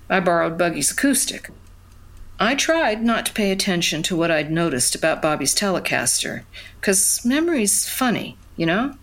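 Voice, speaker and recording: An actress playing a wistful, wonderstruck woman who tells her memories conversationally in an audio drama, with halting repeats. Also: mid-range voice, a bit bright. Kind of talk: first-person storytelling in an audio drama.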